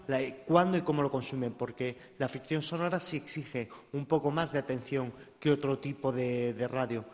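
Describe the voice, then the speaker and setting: A man in the audience putting a spontaneous, direct question to a panel, feeling his way through the wording. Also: mid-range voice. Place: large indoor congress hall. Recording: dull, echoey hall recording.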